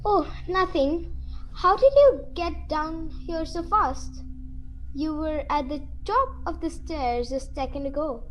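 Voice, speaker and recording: A woman's voice: high-pitched, lively intonation, takes it slowly, low-quality recording. A female performer playing a polite, sensible girl, an earnest older-sister type, in a student radio play. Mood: uneasy, polite.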